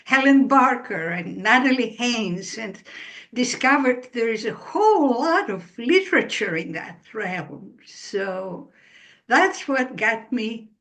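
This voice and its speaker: A woman author speaking in a slow, measured way in an interview, pausing as she explains. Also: a little high, animated, fairly bright tone. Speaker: author guest. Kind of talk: relaxed interview answers.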